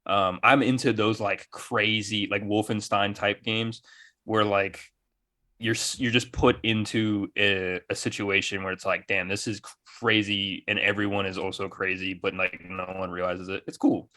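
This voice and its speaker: Enthusiastic low-voiced man chatting about games. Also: little pitch movement, normal speed, sharp tone. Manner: enthusiastic, slangy, profane. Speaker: gaming podcast co-host. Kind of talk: casual gaming chat.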